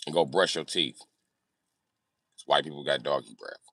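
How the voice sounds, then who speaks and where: A man's voice: extremely low pitch, fairly even intonation, normal speed, pushed and bright, no room sound, studio quality. A man chatting casually on a livestream in a brash, joking, slangy way with lots of swearing. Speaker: livestream host reading and answering comments. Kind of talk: casual profane livestream chat.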